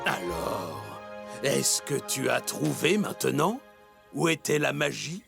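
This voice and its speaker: Wise old cartoon teacher, very gravelly, slow, lively pitch, music under. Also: male voice, heavily acted. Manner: measured, moralizing teacher. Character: wise old animal teacher, calm and patient. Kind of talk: French cartoon dubbing.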